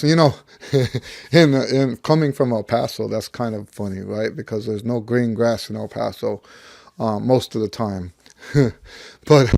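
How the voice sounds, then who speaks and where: A middle-aged American man, slightly hoarse as he gets over losing his voice, talking casually and ramblingly like a teacher sharing experience. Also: mid-range voice, darkish tone, no room sound, clean studio sound. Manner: rambling, conversational, thinking aloud. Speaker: trumpet teacher. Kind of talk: casual talk to camera, personal anecdote.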